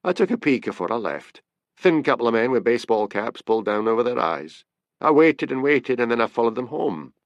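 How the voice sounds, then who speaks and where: A man's voice: brisk, dry recording, highs cut off. Man putting on a shifty, eager Scottish informant. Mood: excited, conspiratorial. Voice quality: deep. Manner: excited, matey, colloquial.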